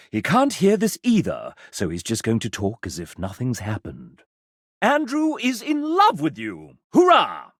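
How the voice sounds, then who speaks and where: Sassy guide, wildly swooping pitch. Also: male voice, fairly bright tone, no room sound, clean studio sound, exaggerated voice. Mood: gleeful. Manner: sassy, arch, theatrical. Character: sassy, theatrical, chatty male guide to dates. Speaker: narrator and guide. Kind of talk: scripted video game narration.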